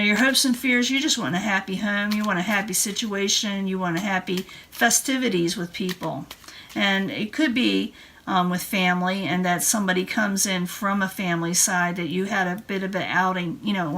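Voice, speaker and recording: Woman reading tarot, slightly hoarse, noisy recording. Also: medium pitch, a bit bright. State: voice starting to go hoarse, throat clearing. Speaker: tarot card reader. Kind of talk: informal tarot reading narration.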